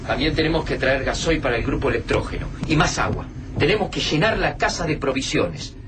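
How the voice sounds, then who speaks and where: Radio drama: male actor as resourceful, serious Argentine survivor, animated delivery, distant mic. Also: medium pitch, fairly quick, lightly acted. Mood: upbeat, practical. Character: Favalli, practical, resourceful, grave middle-class survivor friend. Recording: distant miking with clear background noise.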